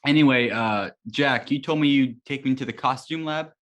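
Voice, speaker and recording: Hesitant young male rookie hero played by a man, casual, polite, mid-pitched, table-read drama. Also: moderate pace, a bit bright, dull audio, lightly acted. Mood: awkward, friendly. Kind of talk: amateur table read acting.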